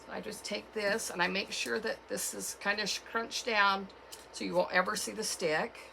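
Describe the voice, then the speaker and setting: A woman with a cold and a froggy, hoarse voice, chatting casually as she walks through a craft tutorial at home. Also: mid-range voice, steady tone, bright, forceful tone, slight background noise. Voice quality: froggy, gravelly. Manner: chatty, unhurried, with fillers and self-corrections. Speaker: craft channel host.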